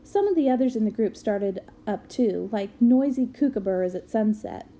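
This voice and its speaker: A woman reading a book aloud as an expressive narrator. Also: mid-range voice, moderate pace. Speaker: reader of a children's book aloud.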